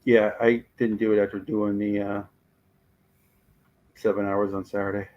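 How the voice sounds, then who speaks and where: Hoarse man on muffled lo-fi audio. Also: on the low side, level tone, moderate pace. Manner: frustrated, emphatic. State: losing his voice. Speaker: co-host of a fan podcast. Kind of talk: casual live-stream chat. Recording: dull lo-fi audio.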